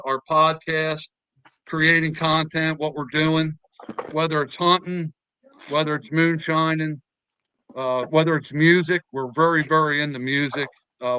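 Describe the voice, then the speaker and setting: A man in his fifties hosts a podcast and tells stories at length, often repeating himself as he gets going. His voice is bright and smooth, with a slightly high pitch and a dry close sound. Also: no room sound, poor audio. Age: 50s. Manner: talkative storyteller, repeats words. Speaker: podcast host. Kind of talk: casual podcast chat.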